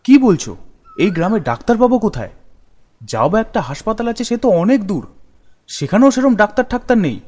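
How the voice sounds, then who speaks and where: A man's voice: high voice, sing-song, fast, pauses often, a little mellow, voice pushed up. A man voicing a poor, earnest village farmer, a humble father, in a cartoon. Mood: concerned. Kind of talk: cartoon character acting. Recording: music behind the voice.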